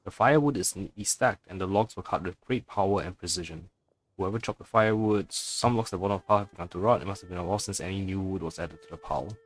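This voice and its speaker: A man's voice: low voice, fast. A man streaming a video game, casually reading on-screen text aloud and reacting with the odd curse. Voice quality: casual, swears. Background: faint game music.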